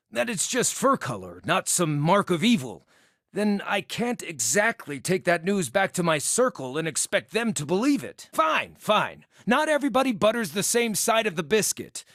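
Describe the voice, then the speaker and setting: A cheeky, scarred little druid outcast from a fantasy game. The voice sits fairly high for a male and swoops with lively intonation. The phrasing is wordy, wry and theatrical, recorded close and dry. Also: moderate pace, no echo, heavily acted. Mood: uneasy, rueful. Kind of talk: video game character dialogue.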